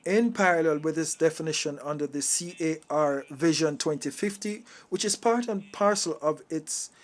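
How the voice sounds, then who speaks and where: A man giving a live interpretation of a formal political speech, in halting phrases with uneven bursts. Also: fairly high pitch. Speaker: simultaneous interpreter.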